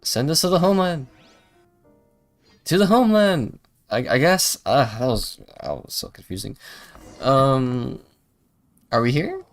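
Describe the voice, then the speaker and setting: A man's voice: medium pitch, animated, measured pace, long pauses. A man reacting casually to a game on a livestream, muttering playfully and hesitantly. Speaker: game streamer. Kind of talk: casual live gameplay reaction. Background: game audio with music.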